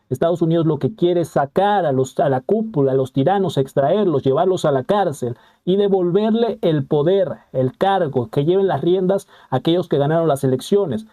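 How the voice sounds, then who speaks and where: A young man hosts a live stream, talking to his viewers off the cuff. His voice is very dark and mellow, and he stops often on drawn-out fillers before going on. The pitch sits a little high for a man. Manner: halting, many "eh" fillers and restarts, reads out viewer comments. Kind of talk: live commentary reacting to a news clip.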